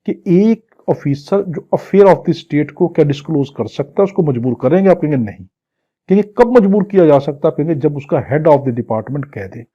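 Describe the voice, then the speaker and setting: A Pakistani man teaches in a slightly high, husky voice. He works didactically through formal clauses, repeating and rephrasing each piece and posing questions that he answers himself. The recording is somewhat dull and muffled. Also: mellow. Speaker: law lecturer. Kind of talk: exam-prep lecture, reading clauses and explaining them.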